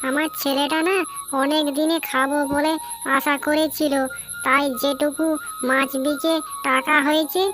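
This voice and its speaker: A woman playing a caring, eager poor village mother in an animated story. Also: sits high, measured pace, over music. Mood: hopeful.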